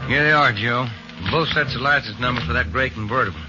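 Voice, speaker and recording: An actor playing a plain-spoken, easygoing police detective in a 1940s radio drama, with a folksy American way of talking. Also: male voice, medium pitch, brisk, music in the background, natural voice. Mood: plain, keen. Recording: noisy, muffled 1940s radio recording.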